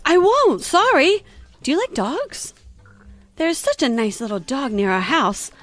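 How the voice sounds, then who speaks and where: Earnest, chatty little girl, voiced by a woman; high and sing-song. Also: very animated, measured pace, fairly bright tone, exaggerated voice. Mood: chattery. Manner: chattery, earnest, easily flustered. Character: curious, polite little girl. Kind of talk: audio drama acting.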